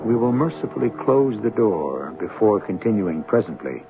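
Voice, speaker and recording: A man narrating a radio drama as host, with a gravelly voice and a solemn, measured, theatrical delivery. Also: fairly low pitch, big pitch swings. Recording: narrow-band old radio broadcast. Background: music.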